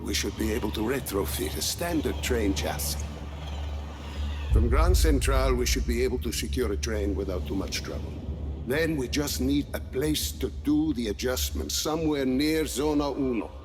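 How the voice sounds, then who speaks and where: A man playing Lanza, a measured older scientist. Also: mid-range voice, music in the background, natural voice. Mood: calm. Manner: measured, precise, explanatory. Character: aging male weather-weapon scientist, calm and methodical. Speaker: weapons scientist. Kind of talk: video game cutscene acting.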